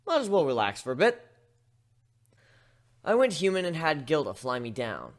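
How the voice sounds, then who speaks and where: A man's voice: a little high, huge pitch swings, normal speed. A man reading first-person story narration as an amateur dramatic reader.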